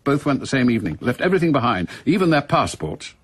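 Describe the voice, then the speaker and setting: An actor playing a gruff, brusque older British spymaster in a radio drama, with a husky voice and clipped, commanding delivery. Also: male voice, medium pitch, big pitch swings, fast, no echo. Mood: brisk, businesslike.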